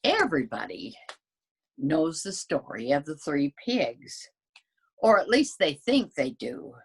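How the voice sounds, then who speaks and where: Storybook wolf played by a woman: sly, folksy, self-justifying, low and slow-paced. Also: big pitch swings, breathy edge, exaggerated voice. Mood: confiding. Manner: conversational, protesting his innocence. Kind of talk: dramatic children's storybook reading.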